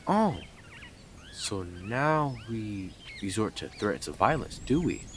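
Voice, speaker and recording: A man acting a deep-voiced, smug yandere intruder. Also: big pitch swings, takes it slowly, a little hiss or hum. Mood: mocking, smug. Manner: blunt, taunting, sarcastic, with dramatic emphasis and scoffs. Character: blunt, smug, obsessive young male yandere neighbour, mocking intruder. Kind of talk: scripted audio roleplay addressed to listener.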